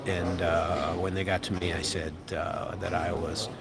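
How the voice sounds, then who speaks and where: A man's voice: sits low, level tone, a little slow, creaky, a crowd in the background. An American man and radio host telling a rambling story with many fillers and restarts. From United States. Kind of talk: casual storytelling monologue. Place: restaurant or bar, eating while talking.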